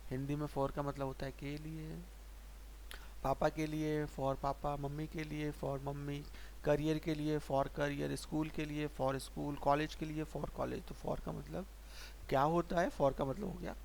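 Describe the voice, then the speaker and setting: A man teaching English grammar in Hindi, patiently asking and answering his own questions and slowing down to spell out example sentences. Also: fairly high pitch, little pitch movement, creaky, a little mellow, low-quality recording. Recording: faint hiss.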